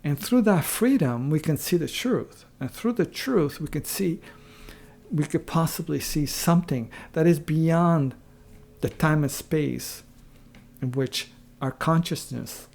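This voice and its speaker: A man giving an unscripted, reflective monologue in a halting, searching way with frequent pauses. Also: fairly high pitch, creaky, darkish tone. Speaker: online video creator.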